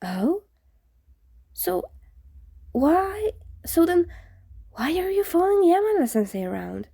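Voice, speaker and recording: A woman's voice: extremely high pitch, sing-song, takes it slowly, gravelly, warm tone, no room sound, clean studio sound, pitched above the performer's own voice, heavily acted. A woman voicing a wary, blunt and inquisitive schoolboy in a storybook reading, insistent and questioning. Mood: sarcastic, pressing.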